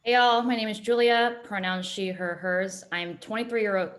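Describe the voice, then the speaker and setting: A woman's voice: medium pitch, steady tone, normal speed, a bit bright. Chatty woman in her twenties. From Boston area, US. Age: 20s. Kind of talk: casual self-introduction. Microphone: video call.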